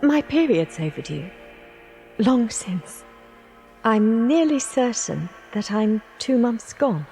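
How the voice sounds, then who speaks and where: A woman's voice: on the high side, sing-song, slow, warm tone, soft background music, exaggerated voice. An actress playing a passionate, romantic woman in love with a younger man, yearning and poetic, in an audio drama. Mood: earnest.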